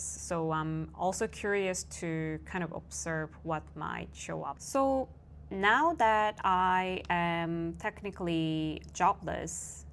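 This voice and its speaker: A young woman whose first language is Korean speaks English with a Korean accent. She talks at an unhurried, slow pace in a somewhat low voice, musing aloud with soft fillers. It is a reflective personal monologue. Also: slight background noise. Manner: thoughtful, soft-spoken, with frequent fillers and light laughs. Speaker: vlogger.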